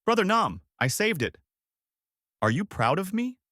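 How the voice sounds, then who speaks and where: A man's voice: a little high, very animated, normal speed, a bit bright, no echo, pitched above the performer's own voice. A devoted woman teacher, acted out by a male narrator, pleading in raised, sweeping cries. The voice is desperate and emotional, recorded close and dry, in storybook style.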